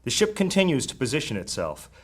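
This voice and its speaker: A man narrating a high fantasy audio drama with dramatic storytelling delivery. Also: huge pitch swings, fast, breathy edge. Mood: dramatic, grave. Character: male narrator of dark high fantasy adventure.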